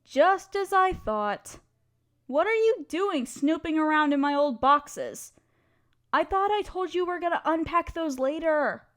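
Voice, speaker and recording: A woman's voice: high voice, expressive, a little slow, a bit bright. A woman playing a playful, affectionate wife who loves poetry, theatrical and teasing, in a romantic audio roleplay.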